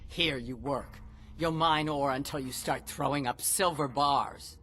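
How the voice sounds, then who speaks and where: An actor playing a harsh, bullying prison mine overseer who barks orders in a fantasy game. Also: male voice, medium pitch, measured pace, pushed and bright. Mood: stern, bullying. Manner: barking, commanding.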